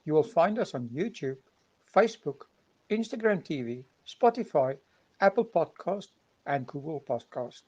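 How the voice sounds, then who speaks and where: Higher-pitched male show host, lo-fi. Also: lively intonation, normal speed. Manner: announcer-like, reads lists. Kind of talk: scripted intro then interview.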